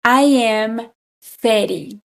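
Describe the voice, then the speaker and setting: A woman in her thirties from Colombia leads a beginner English lesson. She speaks in a fairly high voice, extremely slowly, and lays out each simple phrase with care before repeating it. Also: creaky. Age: 30s. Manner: very slow, deliberate, with frequent repetition. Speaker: English teacher.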